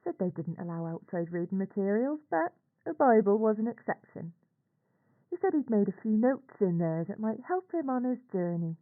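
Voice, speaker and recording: An actress voices a middle-aged Northern English aunt, anxious and ashamed, telling her story for a horror audio drama. The sound is thin and phone-like, as if from an old log. Her mid-pitched voice moves expressively through plain, colloquial phrasing with halting pauses. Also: sing-song. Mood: resigned, troubled. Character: working-class English aunt, a nurse, anxious, ashamed, recounting events. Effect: radio or telephone-like filter. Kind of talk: scripted confessional monologue for horror audio log. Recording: tinny, band-limited audio log recording.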